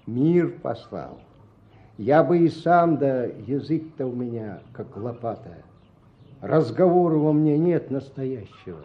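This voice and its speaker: Slow, stubborn Russian village man. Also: medium pitch, expressive. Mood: firm, resigned. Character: stolid, stubborn village peasant spokesman. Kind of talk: Russian radio play acting. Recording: dull old radio play recording.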